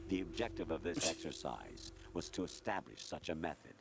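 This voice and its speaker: A cold artificial mind in a video game, extremely low and gravelly. It lectures with smug condescension and a widely swinging pitch, over loud music. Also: male voice, big pitch swings, fairly quick, exaggerated voice. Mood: cold lecturing. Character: cold, smug male artificial intelligence posing as colonel. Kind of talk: dramatic scripted game dialogue.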